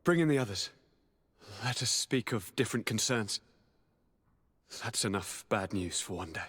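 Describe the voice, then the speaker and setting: A young noble governor in a fantasy video game. His voice is husky and swings widely in pitch, with a theatrical, formal and florid delivery. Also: expressive, moderate pace, a bit bright. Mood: tender, confiding. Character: dramatic, aggrieved, affectionate young nobleman governor. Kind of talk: dramatic fantasy game acting.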